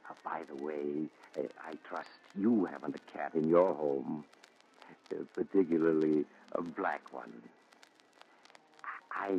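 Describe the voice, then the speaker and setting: An actor playing a grave, sinister storyteller in a 1940s radio thriller, measured, ominous and theatrical. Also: male voice, sits low, a little hiss or hum. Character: sinister, genial storytelling male traveler; also grave doctor. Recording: muffled 1940s radio recording.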